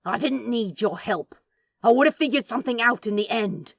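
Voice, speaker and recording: A furious, exasperated male demon, played by a woman narrator in a dramatic audio story. The delivery swings widely in pitch, biting and sputtering with hurt, in a very dry, close recording. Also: expressive, moderate pace, a bit bright, dry recording. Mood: bitter, angry. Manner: sputtering, growling through clenched teeth, swearing. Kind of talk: dramatic fan fiction reading.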